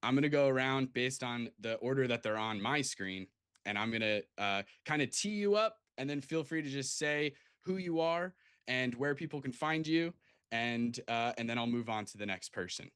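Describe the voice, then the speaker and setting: A man's voice: mid-range voice, lively intonation, normal speed, a bit bright, smooth, no room sound, studio quality. A man hosting a livestream in a casual, enthusiastic and effusive way, introducing people over a video call. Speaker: host.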